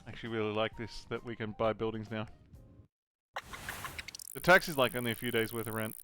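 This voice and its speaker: A young man giving chatty let's-play commentary, thinking aloud with excitable bursts. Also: fairly low pitch, brisk, soft background music. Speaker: gaming channel host. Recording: noisy home recording.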